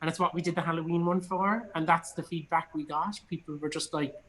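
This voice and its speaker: An Irishman in relaxed, informal conversation, talking with enthusiasm about things he enjoys. His voice sits in an ordinary range, and he comes across as chatty, like a guest on an online show. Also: a little high, fairly quick, poor audio. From Cork, Ireland. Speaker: guest, actor and theatre producer. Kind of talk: casual online chat. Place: indoors in a studio.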